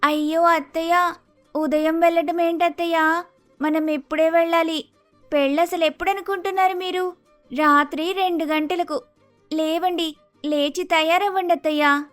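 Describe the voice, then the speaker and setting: Woman acting very high-pitched Andhra daughter-in-law. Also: steady tone, unhurried, long pauses, a bit bright, faint music behind. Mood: urgent. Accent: Andhra Telugu. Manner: polite, exclamatory. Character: polite, naive, easily shocked young Andhra daughter-in-law. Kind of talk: acted dialogue in animated moral story.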